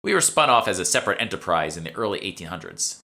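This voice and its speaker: A man's voice: sits low, very animated, fast talker, gravelly, bright, forceful tone, exaggerated voice. A man voicing a teenage salesgirl with a Boston accent, casual and explanatory, in an audiobook. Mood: chatty, informative. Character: teenage salesgirl, evasive then chatty, knowing alchemy shopkeeper. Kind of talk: audiobook character dialogue.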